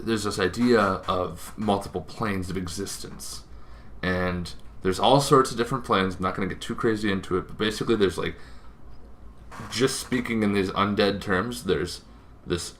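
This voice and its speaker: A man hosting a casual explainer on tabletop roleplaying lore, talking informally and haltingly with frequent asides. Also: on the low side, a bit bright, a little hiss or hum. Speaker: tabletop gaming video host.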